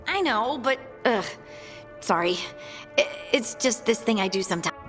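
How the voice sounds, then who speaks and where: A woman's voice: fairly high pitch, expressive, normal speed, a bit bright, big-room sound, soft background music. An actress playing an eager-to-please young dwarven woman in a video game, speaking hesitantly and apologetically. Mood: awkward, apologetic. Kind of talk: video game cutscene acting.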